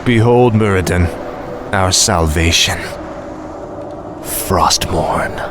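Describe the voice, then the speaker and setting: An actor playing a proud, vengeful young warrior prince in a video game, speaking in an imperious, declamatory way. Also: male voice, low-pitched, takes it slowly, noisy background. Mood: determined. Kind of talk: video game cutscene acting.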